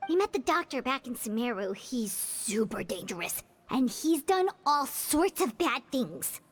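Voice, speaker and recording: A woman's voice: fairly high pitch, very animated, measured pace, no room sound. A woman voicing a small chatty fairy-like companion in a video game, who talks about herself in the third person. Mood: worried. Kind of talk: video game cutscene dialogue.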